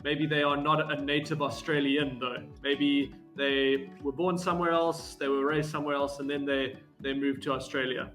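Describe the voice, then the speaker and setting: A man English tutor giving measured, honest feedback on a student's speaking. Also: medium pitch, little pitch movement, a bit bright, soft background music. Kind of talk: casual chat.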